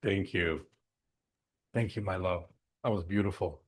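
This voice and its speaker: A man guiding a breathing meditation in a gentle, unhurried way, leaving long pauses between short instructions. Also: low voice, husky. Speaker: meditation guide.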